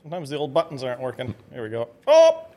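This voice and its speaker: A man's voice: medium pitch, wildly swooping pitch, quick pace, warm tone. A man bantering casually with friends over a video game in a joking, teasing way. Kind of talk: casual banter while playing video games.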